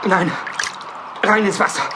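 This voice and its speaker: A man's voice: high-pitched, fairly even intonation, unhurried, very noisy. An actor playing an impatient, skeptical young man in a German horror audio drama, brusque and urgent. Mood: alarmed, urgent.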